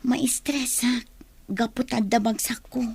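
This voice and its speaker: A woman's voice: fairly high pitch, fairly even intonation, measured pace, a little mellow, husky, no echo. A woman playing a troubled young student under family pressure in a radio drama, with an Ilocano accent. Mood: anxious. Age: young adult.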